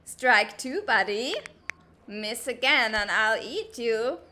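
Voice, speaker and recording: A woman voicing a brash, hot-tempered teenage girl in a comic dub, blustery, bossy and quick to get defensive. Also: a little high, animated, sharp tone, a little hiss or hum, heavily acted. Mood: menacing, needling. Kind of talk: animated comic-dub voice acting.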